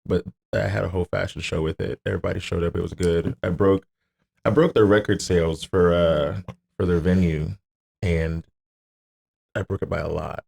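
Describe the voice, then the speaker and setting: Husky, gravelly low-voiced American man. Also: steady tone, normal speed, darkish tone. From Florida, United States. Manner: profane, informal. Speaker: returning podcast guest. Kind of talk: casual chat.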